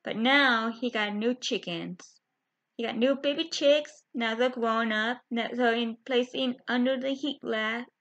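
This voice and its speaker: A young woman chatting to her webcam from a small bedroom, halting, with many fillers and restarts. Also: fairly high pitch, fairly bright tone. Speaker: vlogger. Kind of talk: casual chat to camera. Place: small bedroom with window blinds. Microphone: webcam at close range. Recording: dull lo-fi webcam audio.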